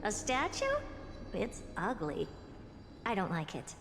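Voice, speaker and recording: An actress voicing a haughty, curious young genius in a video game, musing aloud in an imperious, theatrical way. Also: female voice, medium pitch, sing-song, slow pace. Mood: musing, disdainful. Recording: long hall reverb, music underneath.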